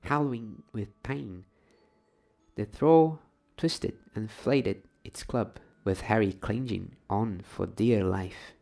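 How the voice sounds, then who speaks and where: A man narrates a bedtime story in a soft ASMR style. His voice is low and husky, with plenty of creak, and he takes his time, hushed and at times whispering. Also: slow. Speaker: storyteller reading aloud.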